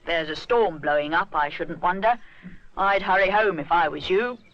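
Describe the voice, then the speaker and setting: A woman voicing a fatherly male village constable who speaks calmly and kindly. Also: lowish voice, moderate pace, no echo, lo-fi sound, natural voice. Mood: friendly.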